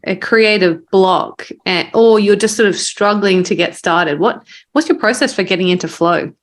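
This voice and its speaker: Lady podcast host chatting with a guest, normal mid pitch, interviewer style. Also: normal speed. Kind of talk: conversational interviewing.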